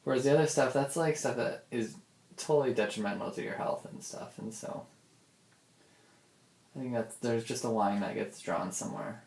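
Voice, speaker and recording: A man's voice: medium pitch, moderate pace, creaky, a little mellow, echoey room. A young man thinking aloud in a casual audio diary, hesitant and often circling back on himself with repeated filler words. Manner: hesitant, repetitive, self-interrupting with many "like"s. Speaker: audio diarist.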